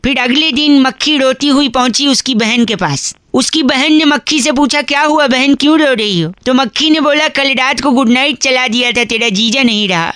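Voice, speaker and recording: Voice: sits high, normal speed, hardly any pauses, bright, forceful tone, dry recording. A performer voicing a cheeky young boy prank caller who pesters people with rambling jokes in a teasing way. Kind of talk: prank phone call comedy.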